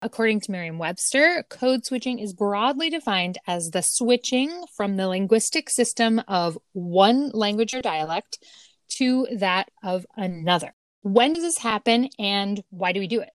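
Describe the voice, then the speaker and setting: A woman co-hosting a podcast, reading a scripted explanation in a measured way. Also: medium pitch, lively intonation, no echo. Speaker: podcast co-host.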